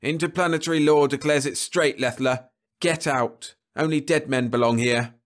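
A hot-headed young spacer who snaps back in sharp, indignant bursts, his voice pitched well up and loud. A man acts him out in an audiobook reading. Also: big pitch swings, measured pace, husky, full character voice. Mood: bitter, indignant. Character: hot-tempered young male spaceship crewman. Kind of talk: audiobook character voice.

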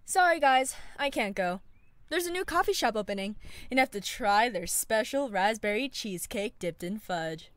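A woman's voice: on the high side, expressive, normal speed, no echo, lightly acted. A woman playing a bubbly, teasing young food lover in an audio drama, playful and exclamatory.

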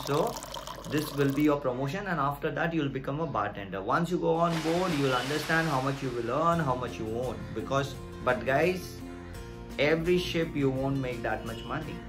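A man, explaining things to viewers in an emphatic, repetitive, direct way. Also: medium pitch. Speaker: video host giving career advice. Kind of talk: explainer vlog to camera. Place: small plain room indoors. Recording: music behind the voice.

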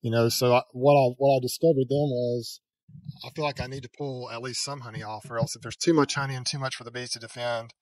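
Older guy on a video call w/ headset mic, rambles and corrects himself, talks quick. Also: mid-range voice, fairly even intonation, a little mellow, no echo, low-quality recording. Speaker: beekeeping channel host. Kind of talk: casual interview chat. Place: home room with a headset mic. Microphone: headset with a boom mic, video call.

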